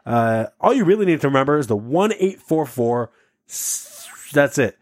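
A man's voice: mid-range voice, sing-song, normal speed, dry recording, clean studio sound. A man co-hosting a comedy podcast, rambling goofily through the show's sign-off plugs and laughing often. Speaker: podcast co-host. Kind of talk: casual comedy podcast banter.

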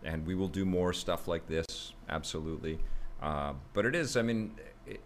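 A deep-voiced man hosts a relaxed podcast chat, easygoing and agreeable as he steers the talk. His voice is among the lowest for a man. A faint hiss sits under the recording. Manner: easygoing, agreeable, quick to laugh along. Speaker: podcast host.

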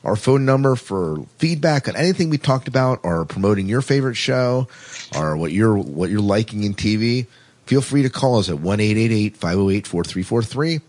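Male podcast co-host, lower-pitched voice, informal and conversational delivery. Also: lively intonation, moderate pace, darkish tone. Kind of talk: casual podcast talk.

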